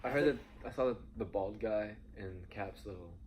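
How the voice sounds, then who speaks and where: A man co-hosting a movie review, chatting casually and excitedly as a fan and acting out bits of dialogue. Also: fairly low pitch, moderate pace, a little hiss or hum, low-quality recording. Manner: informal, acts out quoted lines. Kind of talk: casual excited fan chat about a film.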